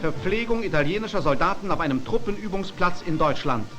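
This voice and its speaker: Man, high-pitched voice, formal declamatory delivery, scripted 1940s newsreel narration, old film soundtrack, loud music behind. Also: lively intonation, brisk.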